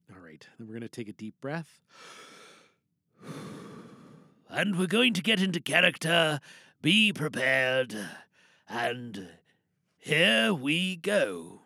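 A man's voice: mid-range voice, gravelly, sharp tone, dry recording, clean studio sound. A man hosting a podcast with casual, chatty, playful and theatrical talk. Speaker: podcast host. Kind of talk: casual, chatty show introduction.

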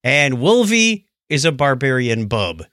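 A man's voice: medium pitch, sing-song, measured pace, pushed and bright, no echo, clean studio sound. A man co-hosting a podcast in a casual, chatty style. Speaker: podcast co-host.

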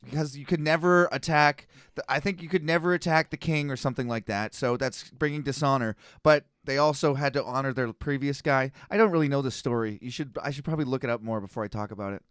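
A man's voice: medium pitch, fast, fairly bright tone, clean tone, dry recording, clean studio sound. A young man from North America co-hosting a casual comedy podcast, telling rambling, profane stories and laughing often. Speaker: podcast co-host.

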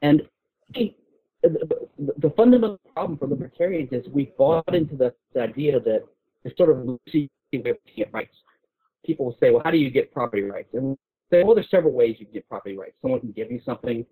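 Man, phone-like thin audio, husky unclear voice, informal debate, halting delivery with repeated words. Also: medium pitch, animated. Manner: halting, repeats words while building arguments. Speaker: guest speaker on a discussion show. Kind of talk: informal intellectual argument. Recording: muffled narrow phone-line sound.

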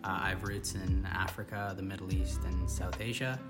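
A man's voice: sits low, fairly even intonation, normal speed. A young man from Toronto with a Canadian accent, speaking casually as a student podcast guest. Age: young adult. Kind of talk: casual discussion. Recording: loud music under the voice. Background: music.

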